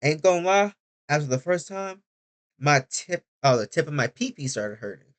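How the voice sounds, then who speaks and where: A man's voice: a little high, expressive, moderate pace. A young man rambling through a casual video diary, chatty and often interrupting himself. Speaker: vlogger.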